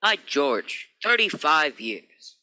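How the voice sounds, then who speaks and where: A man acting a bright, forceful rocket captain. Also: a little high, big pitch swings, slow, full character voice. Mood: happy. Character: commanding male Navy rocket captain, middle-aged, emotional. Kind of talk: radio play acting.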